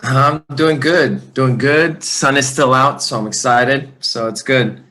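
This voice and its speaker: Guest, man, 30s, easygoing storyteller, mid pitch. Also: steady tone, slow pace, sharp tone. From Lebanon, raised in the US. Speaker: guest, fitness trainer. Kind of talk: casual interview. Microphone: remote recording.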